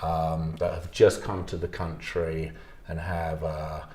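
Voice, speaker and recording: Deep-voiced, slow-talking male teacher. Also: flat delivery, dark tone. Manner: measured, with hesitant "um"s. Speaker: English language teacher. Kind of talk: interview answers. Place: school classroom. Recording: roomy echo.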